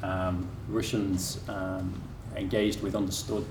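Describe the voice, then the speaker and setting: A man gives a conference presentation in a lecture room, his lowish voice halting and full of fillers and restarts. He is picked up from a distance by the room mic, so the sound is roomy with a faint hiss underneath. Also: flat delivery. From England. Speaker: academic speaker. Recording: echoey room from far off with faint noise.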